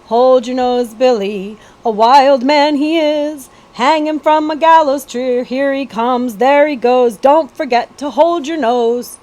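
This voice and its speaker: A woman voicing a storybook ballad seller, a man crying his songs for sale in a singsong, drawn-out street call. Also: sits high, a little mellow, pitched above the performer's own voice.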